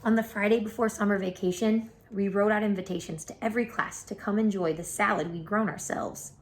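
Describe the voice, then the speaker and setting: A woman reading a children's picture book aloud as an expressive storyteller. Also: medium pitch, brisk, slight background noise.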